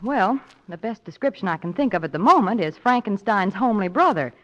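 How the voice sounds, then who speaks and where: Woman acting a witty young lady companion, animated melody, flippant, playful, old-time radio drama, muffled lo-fi audio. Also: mid-range voice, a little fast, lightly acted. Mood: shaken, wry. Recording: dull lo-fi old radio recording.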